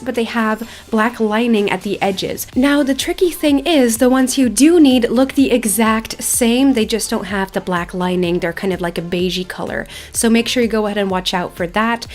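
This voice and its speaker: A woman giving casual, chatty, self-deprecating gameplay commentary as a video host, sometimes reading quest text aloud. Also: on the high side.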